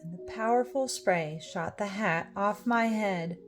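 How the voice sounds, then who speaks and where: A woman reading a rhyming children's storybook aloud, with expressive, deliberate phrasing. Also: medium pitch. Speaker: storybook reader. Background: music.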